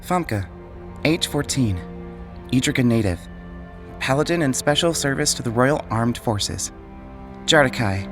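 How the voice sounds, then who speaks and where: A man's voice: medium pitch, lively intonation, unhurried, long pauses, lightly acted. An actor playing a dutiful fourteen-year-old boy soldier who speaks formally. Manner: formal, stating rank. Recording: music bed underneath.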